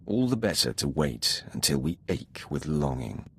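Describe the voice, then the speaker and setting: A man voicing a commanding, coolly controlled Sith lord in a video game, speaking in a measured, authoritative way. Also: rumbling low, very animated, a bit bright, breathy edge, full character voice. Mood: cool, seductive.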